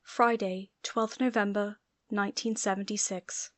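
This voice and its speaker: Woman audiobook narrator, reading a story, mid pitch, dry close mic. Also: level tone, unhurried, no room sound, faint music behind.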